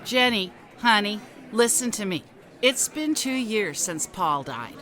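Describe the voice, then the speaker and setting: An actress playing a blunt, bossy, outspoken best friend with a dramatic manner in a radio drama. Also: female voice, medium pitch, lively intonation, measured pace, a bit bright. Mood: nagging.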